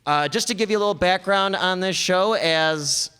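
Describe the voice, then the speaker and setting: A man's voice: sits high, normal speed, sharp tone, clean tone. A man hosting a live stage show with an informal, rambling and joking introduction. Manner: hesitant, rambling, joking with the audience. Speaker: host. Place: stage before a small audience. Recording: live hall with long reverb.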